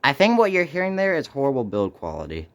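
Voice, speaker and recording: A man hosting a casual, unscripted unboxing video, speaking haltingly and muttering to himself as he goes. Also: mid-range voice, huge pitch swings. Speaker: video host doing an unboxing.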